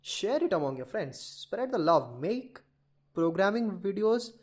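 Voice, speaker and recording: Man, slightly high-pitched, informal, chatty, rambling, coding tutorial presenter. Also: sing-song, measured pace. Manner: chatty, rambling, addresses viewers as guys.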